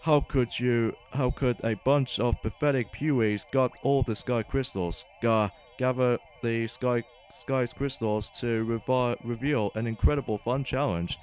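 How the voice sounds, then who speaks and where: A man voicing a blustering, grumpy monster villain from a video game, in a slow, stumbling read. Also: medium pitch, steady tone, natural voice. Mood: indignant. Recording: game music behind.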